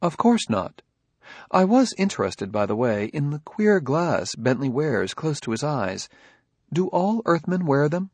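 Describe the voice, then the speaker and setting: Humanoid robot partner, played by a man: formal, measured, unruffled, slightly raised husky voice, science fiction audiobook. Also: on the high side, very animated, no room sound, voice pushed up, exaggerated voice. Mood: curious, polite.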